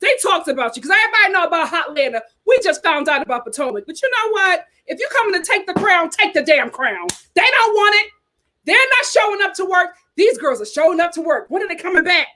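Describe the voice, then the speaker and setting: A woman's voice: extremely high pitch, big pitch swings, quick pace, sharp tone. A woman hosting a casual reality TV reaction chat, talking back at the screen. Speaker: reality TV commentary host.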